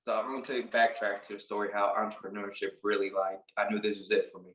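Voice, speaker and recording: A man in his late twenties from Puerto Rico, a barber telling his story in a casual interview, relaxed and halting with many fillers. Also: fairly low pitch, reverberant. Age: late 20s. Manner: relaxed, halting, lots of "like" and restarts. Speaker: barber and business owner, guest.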